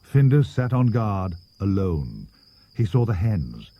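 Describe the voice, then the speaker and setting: Animated male storybook narrator. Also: fairly low pitch, takes it slowly. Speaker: audiobook narrator. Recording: dull cassette transfer with music.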